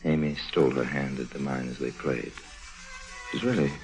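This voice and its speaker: Low-voiced suave radio schemer. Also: male voice, steady tone, moderate pace. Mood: sly, confiding. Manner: urbane, smoothly insinuating. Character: suave middle-aged scheming swindler, smooth charmer and narrator. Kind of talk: 1950s radio drama acting, wry confidential narration. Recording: vintage radio recording with faint music.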